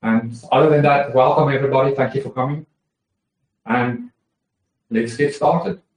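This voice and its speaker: A man from South Africa with a South African accent, warmly welcoming people to an online Bible study he presents. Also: mid-range voice, fairly even intonation, moderate pace. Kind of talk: casual welcome on an online call. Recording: roomy sound, distant from the mic.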